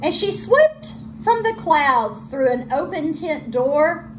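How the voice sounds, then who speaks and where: A woman teacher reading a children's storybook aloud with expressive delivery. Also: sits high, takes it slowly, a little hiss or hum.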